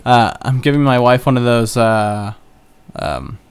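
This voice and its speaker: A young man talks over his own game session in a loose, chatty way, wandering between asides and little jokes at his own expense. His voice is smooth and resonant at a middle pitch. Keyboard clicks come through from his desk. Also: lively intonation, measured pace. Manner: chatty, rambling, self-joking. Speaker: gaming channel host. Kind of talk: casual Let's Play commentary.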